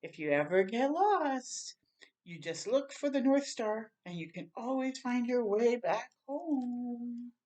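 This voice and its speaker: Woman playing a gentle reindeer mother, measured pace. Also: a little high, lively intonation, pitched above the performer's own voice. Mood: reassuring.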